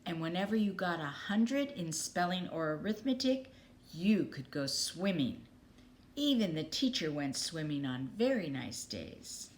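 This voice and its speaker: Woman, children's story reading, unhurried, expressive, dramatic pauses, lively intonation. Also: fairly low pitch, a little hiss or hum. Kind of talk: children's story read aloud.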